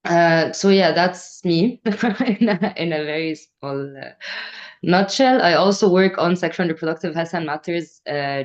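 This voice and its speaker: A young adult woman speaking as a panelist in an online discussion, earnest and pausing often with fillers. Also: deep voice, highs cut off. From Egypt. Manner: earnest, with frequent filler pauses. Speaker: panelist, researcher.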